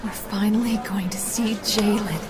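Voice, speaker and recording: An actress playing a caring, excitable friend in an audio drama, eager and sympathetic. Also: female voice, mid-range voice, normal speed, over music, close to an everyday voice. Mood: excited. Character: caring, excitable female friend offering comfort and encouragement.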